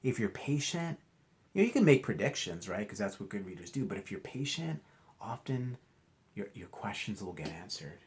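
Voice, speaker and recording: A man reading a storybook aloud to children like a teacher, expressively, pausing to ask questions and explain. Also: fairly low pitch, very animated. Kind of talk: interactive storybook read-aloud.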